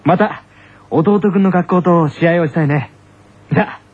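Breezy, genial senior schoolboy. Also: male voice, sits high, moderate pace, a little mellow, breathy edge. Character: genial older schoolboy, tennis team senior. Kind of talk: comic radio drama. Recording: muffled lo-fi radio recording.

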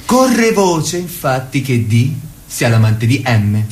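A man's voice: medium pitch, big pitch swings, slow pace, a little hiss or hum. A man performing as a formal orator and storyteller in a stage drama, with scripted delivery. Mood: dry, gossipy. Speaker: narrator and party speaker.

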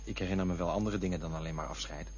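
An actor playing a defensive, wistful man in a Dutch radio play, touchy and drifting into lyrical memories, with a husky voice. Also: sits low, fairly even intonation, rapid-fire, darkish tone, slight background noise, natural voice. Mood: wistful reminiscing. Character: defensive, wistful man looking back on lost loves. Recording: dull, lo-fi radio play recording.

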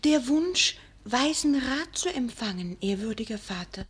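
A woman's voice: a little high, lively intonation, measured pace, slightly rough. An actress playing a naive young noblewoman in a 1940s radio play, earnest and pleading in formal, archaic speech. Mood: humble, pleading. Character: naive young noblewoman, earnest maiden wife longing for child.

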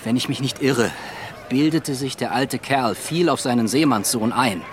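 A man's voice: mid-range voice, normal speed, noisy background, natural voice. A male actor playing a proud, indignant ship's captain in a radio drama, speaking bluntly and emphatically. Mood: indignant, scornful. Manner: haughty, emphatic.

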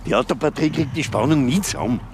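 An actor playing a put-upon, practical young technician in a radio drama. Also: male voice, medium pitch, animated, fast talker, lightly acted. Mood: defensive, practical. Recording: noisy outdoor ambience.

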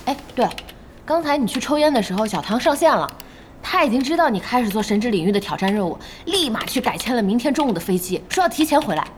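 An actress playing an excitable, blunt young woman who runs an internet cafe in an audio drama, expressive and exclaiming. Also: a little high, quick pace, slight background noise. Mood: chatty.

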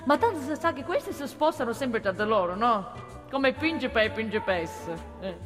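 An actress playing a chatty, folksy working-class gossip in a theatrical radio monologue. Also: female voice, a little high, lively intonation, moderate pace, over music, close to an everyday voice. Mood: gossipy. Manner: colloquial, with tag questions and exclamations. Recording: long hall reverb of a stage.

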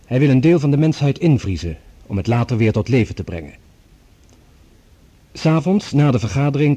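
A man narrating a radio drama from a script in a measured, formal manner as announcer. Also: mid-range voice, warm tone, husky.

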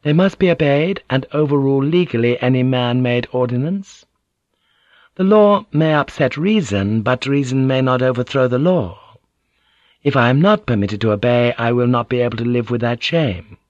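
A man's voice: medium pitch, moderate pace, no echo, lo-fi sound, lightly acted. A man voicing a proud noble samurai lady in an audiobook, formal, courteous and commanding. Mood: formal, resolute.

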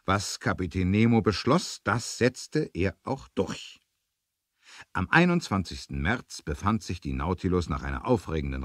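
Very deep male storyteller, husky, close. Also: dry recording. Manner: measured storytelling with dramatic emphasis. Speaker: narrator. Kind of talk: scripted 1970s audio drama narration.

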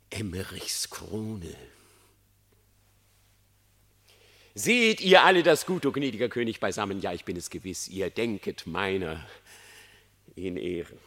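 A wily, silver-tongued fox pleads in radio-play verse. The voice is sharp and forceful, with ingratiating, declamatory delivery. It rings in a reverberant space. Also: male voice, low voice, exaggerated voice. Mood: smooth, enticing. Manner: theatrical, persuasive, declamatory. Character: sly, eloquent, ingratiating male fox on trial. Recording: reverberant studio sound.

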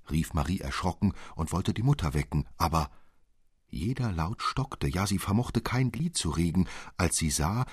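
A man narrating a literary audiobook expressively, in a measured, careful storytelling manner. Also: low voice, slightly rough, no room sound, clean studio sound.

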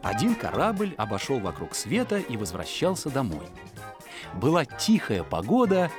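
A man reading a story aloud as an audiobook narrator, in an expressive, theatrical manner. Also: mid-range voice. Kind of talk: dramatic scripted story narration.

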